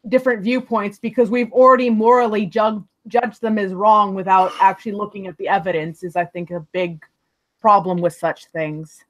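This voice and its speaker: A woman, Spanish her first language, chatting casually on a voice call, talkative and fond of arguing, with quick laughs. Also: mid-range voice, fairly even intonation, poor audio. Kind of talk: casual voice chat.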